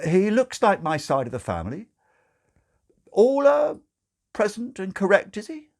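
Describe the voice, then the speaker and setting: Man playing a flustered new father, pitched up. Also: wildly swooping pitch, heavily acted. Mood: doubtful. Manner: halting, stammering. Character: flustered, mildly puzzled, doubtful new father.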